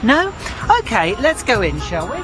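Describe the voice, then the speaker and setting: An actor playing a smooth-talking, pushy male estate agent with breezy sales patter in a radio drama. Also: high voice, very animated, moderate pace, loud music behind the voice, poor audio. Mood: salesy.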